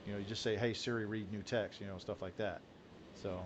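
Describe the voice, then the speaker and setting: A man's voice: fairly low pitch, fairly quick, a little hiss or hum. A man hosting a casual group chat over a video call, talking loosely with lots of fillers. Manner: chatty, relaxed, frequent fillers. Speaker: host.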